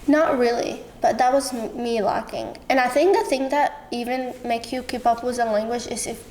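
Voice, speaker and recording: Woman, Syrian Arabic accent, native Arabic, slightly high pitch, expressive, casual interview talk, light background noise. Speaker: podcast guest. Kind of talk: casual personal interview conversation.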